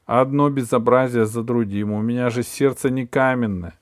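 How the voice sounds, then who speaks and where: A man voicing an exasperated mother who scolds her son in a sharp, raised voice. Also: medium pitch, steady tone, moderate pace, dry recording, studio quality, close to an everyday voice.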